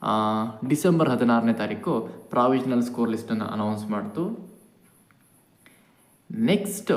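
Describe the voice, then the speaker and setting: A man hosts an informal educational update in a roomy, echoing space. His voice sits at a middle pitch. He explains things carefully, repeats key points and drops in English words. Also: a little mellow. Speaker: educational channel host. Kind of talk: informal exam update addressed to viewers. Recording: echoey room.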